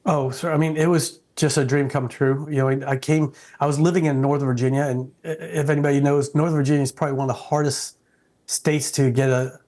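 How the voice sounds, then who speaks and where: A man's voice: medium pitch, level tone, brisk, darkish tone. A middle-aged man, born in Vietnam and speaking English, being interviewed and talking earnestly and respectfully, often restarting his sentences. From South Vietnam. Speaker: guest.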